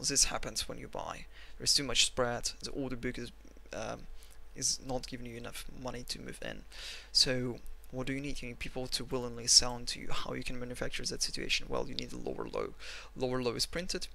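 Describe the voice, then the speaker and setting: Gravelly Russian-accented man, bright tone. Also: medium pitch. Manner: self-mocking, rambling, blunt. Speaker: trading analyst. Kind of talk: screen-recorded chart analysis.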